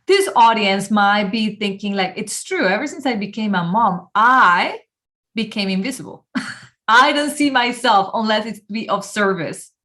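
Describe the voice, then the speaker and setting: A woman hosting a podcast interview, chatty and lively, laughing often as she talks. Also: medium pitch, moderate pace. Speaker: podcast host and coach. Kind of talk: casual interview chat.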